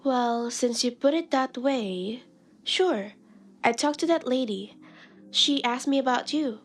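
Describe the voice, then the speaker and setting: Jaded, sassy barfly informant, slightly high voice, deadpan comic audio drama. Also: female voice, takes it slowly, heavily acted. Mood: cagey, dry. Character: streetwise, deadpan female lounge regular, world-weary informant. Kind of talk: comedic audio drama acting.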